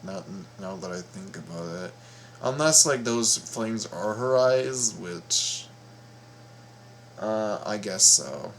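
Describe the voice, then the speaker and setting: A man hosting a reaction video, commenting casually in a halting, stammering way and repeating his words. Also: lowish voice, expressive, fairly bright tone, a little hiss or hum.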